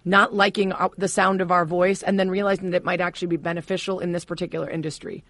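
A woman host leads the conversation in a chatty, affirming way and asks long, winding questions. Her voice sits lower than it once did after vocal cord surgery. The recording is close and dry, with no room echo. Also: little pitch movement, quick pace, fairly bright tone. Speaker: podcast host, interviewer. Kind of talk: conversational interview.

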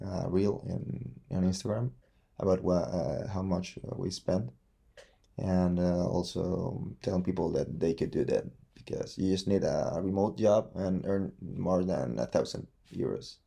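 A man from Venezuela with a Venezuelan Spanish accent, a podcast guest speaking English haltingly with many restarts as he searches for words. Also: low-pitched, steady tone, vocal fry, dark tone, slightly rough. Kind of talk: casual interview chat.

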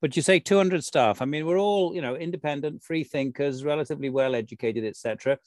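A man's voice: a little high, fast talker, no room sound, studio quality. A man with a Welsh accent interviewing a guest in a chatty, meandering way with lots of fillers. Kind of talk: conversational interview.

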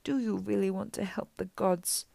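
A woman's voice: lowish voice, fairly even intonation, unhurried, no room sound, natural voice. A woman voicing a menacing old she-monster who coaxes with a purr like a kindly grandmother, in an audiobook. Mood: quietly coaxing. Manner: coaxing, purring, sinister.

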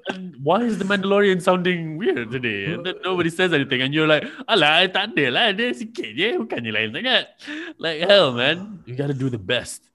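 A man's voice: sits high, very animated, a little fast, hardly any pauses, sharp tone. Teasing man ribbing his co-host. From Malaysia. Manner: teasing, mock-exasperated ribbing. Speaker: podcast co-host. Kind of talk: casual chat.

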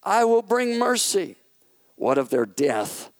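A man voicing a booming, majestic God. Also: sing-song, no echo, exaggerated voice. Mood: commanding. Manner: slow, solemn proclamations. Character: booming majestic male God, loving and stern.

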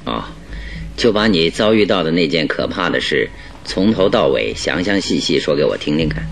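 An actor playing a calm, good-humoured detective in a Chinese radio drama, speaking politely and deliberately with a teasing streak. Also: male voice, extremely low pitch, gravelly, faint music behind, heavily acted. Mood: courteous, attentive.

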